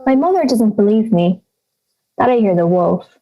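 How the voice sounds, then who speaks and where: A young woman acting a lonely, fearful girl who voices brooding inner thoughts in halting phrases in an audio drama. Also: medium pitch, animated, highs cut off, slight background noise, lightly acted. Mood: wistful, uneasy.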